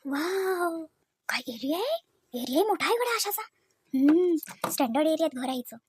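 A woman's voice: high-pitched, animated, normal speed, darkish tone, slight background noise. A woman voicing a snobbish, boastful, envious sister-in-law in a comedy cartoon, affected and condescending. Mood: envious, muttering. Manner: affected, condescending, with snide muttered asides. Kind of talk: exaggerated cartoon comedy dialogue.

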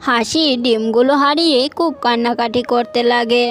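High-voiced woman narrating a children's story. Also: normal speed. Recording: music behind the voice.

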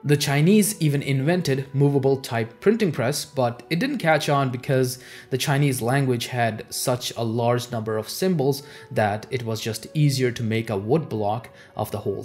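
A man's voice: mid-range voice, moderate pace, a little mellow. A young man whose native language is Urdu gives scripted educational narration in an explanatory way, as host of a history channel. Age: young adult. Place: small home office, indoors. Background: background music.

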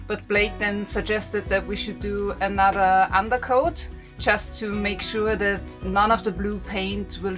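A young woman sits in a wooden boat cabin and talks to a camera in a friendly, chatty way. She tells the story of a project and nudges her partner along as they go. Soft guitar music plays faintly under her voice. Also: mid-range voice. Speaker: sailing vlogger. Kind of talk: casual explanation to camera.

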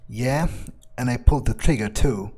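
A man's voice: mid-range voice, normal speed, darkish tone. A man playing a video game in character, speaking his lines and thoughts aloud in a blunt, sweary way. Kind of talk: in-character game roleplay commentary. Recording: faint music behind.

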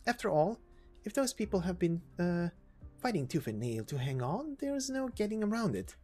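A woman's voice: fairly low pitch, very animated, moderate pace, mellow, slightly rough, faint music behind. A woman voicing a prickly, sardonic young female mercenary, evasive and defiant, in amateur game story acting.

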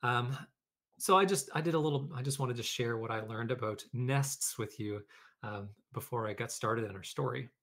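A man's voice: mid-range voice, moderate pace, fairly bright tone. A man hosting a read-aloud for children, talking informally and explaining things, or reading a storybook. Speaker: educator, read-aloud host.

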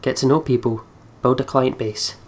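A man's voice: mid-range voice, fairly even intonation, moderate pace. A man reading visual novel narration aloud for a let's play.

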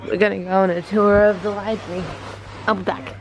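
Young woman, voice shot to hoarseness, playful teasing, camera mic, faint room hum. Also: medium pitch, flat delivery, a little fast, some chatter behind, poor audio. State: lost voice, hoarse. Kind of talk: casual chat. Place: library at night, indoors.

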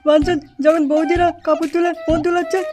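A quarrelsome young male cartoon troublemaker, high-voiced and rattling along quickly. He heckles in a whiny, foul-mouthed way over background music. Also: little pitch movement. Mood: indignant. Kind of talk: comic cartoon voice acting.

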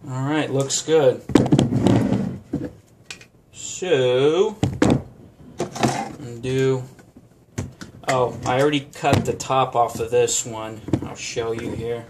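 A man's voice: mid-range voice, steady tone, extremely slow, pauses often, heavy background noise. A man giving a casual how-to demonstration at a home bar counter, talking unhurriedly with many fillers. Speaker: vlogger.

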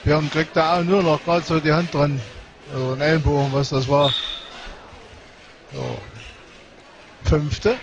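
A man with a regional German dialect giving relaxed, chatty live commentary on a match, with many fillers and short exclamations. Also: on the high side, a little slow, vocal fry, pauses often, lo-fi sound. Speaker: sports commentator. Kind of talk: casual live commentary on a cycle-ball match. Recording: noisy sports hall with crowd ambience.

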